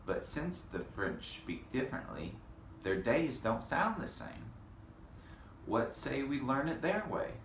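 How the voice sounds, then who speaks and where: Guy teacher doing storytime for little kids, takes it slow and simple, echoey room w/ slight noise. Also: medium pitch, very animated, a bit bright. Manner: simple, deliberate phrasing with questions to the children. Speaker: elementary school teacher. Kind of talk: read-aloud lesson for young children.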